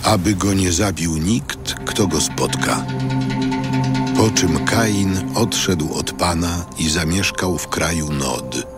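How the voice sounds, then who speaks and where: Male narrator for scripture: deep, solemn, slow, measured, scripted, music underneath. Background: loud music.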